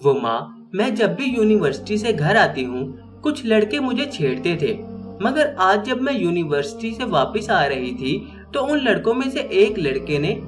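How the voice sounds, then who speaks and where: A man's voice: sits high, big pitch swings, unhurried, music in the background. A man voicing a frightened young woman student, sobbing and pleading as she speaks. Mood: tearful.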